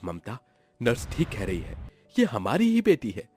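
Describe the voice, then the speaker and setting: A man voicing a gentle, worried young father with a soothing, patient manner in a story. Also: medium pitch, wildly swooping pitch, unhurried. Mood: gentle pleading. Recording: music behind the voice.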